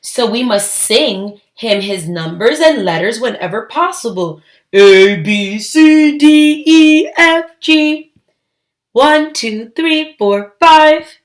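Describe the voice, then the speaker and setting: A woman's voice: on the high side, animated, slow pace. A woman voicing doting parents in a children's storybook, gushing over their baby in a sing-song way. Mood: sing-song, encouraging. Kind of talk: children's storybook read-aloud.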